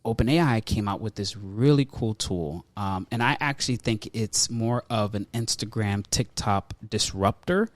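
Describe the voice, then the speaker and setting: A man's voice: on the low side, moderate pace, few pauses, no room sound. Casual man hosting a podcast, dry sound. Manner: informal, slangy speech. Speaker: podcast host. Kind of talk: upbeat casual podcast banter and topic rundown.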